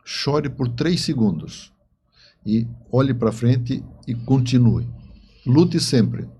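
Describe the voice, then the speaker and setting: A man's voice: medium pitch, expressive, takes it slowly, dark tone, breathy edge. Male interview guest. Conversational storyteller, explains as he goes, doubles back on words. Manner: explanatory, often repeats words and seeks agreement.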